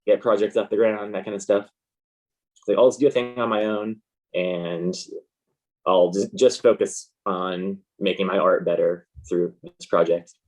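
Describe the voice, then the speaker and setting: A man's voice: low-pitched, fairly even intonation, normal speed, low-quality recording. An American man talking casually and informally as an interview guest, in a laid-back way. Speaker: interview guest, comic artist.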